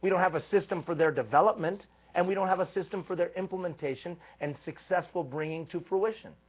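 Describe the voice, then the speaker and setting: A man with a high, husky voice coaches a live group with energy and emphatic repetition. The sound is thin and phone-like, as from an old live stream. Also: fast. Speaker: leadership coach. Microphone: live stream microphone.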